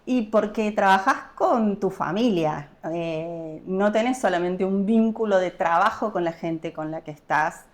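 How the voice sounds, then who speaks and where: A woman with an Argentine accent speaks as a podcast guest. Her talk is relaxed and conversational, with frequent pauses while she looks for the right word. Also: mid-range voice. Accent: Argentine Spanish. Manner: relaxed, searching for words, pausing often. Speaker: guest, property investor. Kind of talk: casual podcast interview.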